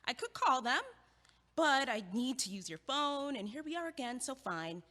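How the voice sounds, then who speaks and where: An actress playing an impatient young female student who talks in a brisk, blunt, dryly logical way in an audio drama. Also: high-pitched, big pitch swings, bright, forceful tone, big-room sound, heavily acted.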